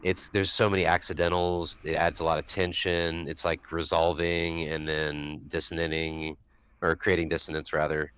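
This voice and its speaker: A man's voice: rumbling low, flat delivery, normal speed, a bit bright, smooth. A man co-hosting a podcast, talking casually about music in a laid-back way and explaining songwriting terms. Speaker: guest co-host.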